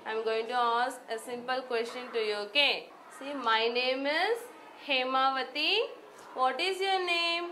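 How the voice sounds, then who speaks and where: A woman teacher prompting children with simple questions in a clear, instructive way. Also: high-pitched, unhurried, background noise. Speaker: classroom teacher. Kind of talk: coaching children.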